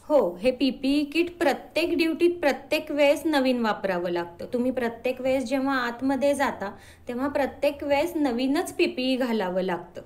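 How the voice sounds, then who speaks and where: A woman's voice: sits high, normal speed, darkish tone. A woman, a doctor answering interview questions in an explanatory way, mixing in English medical terms. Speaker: doctor, podcast guest.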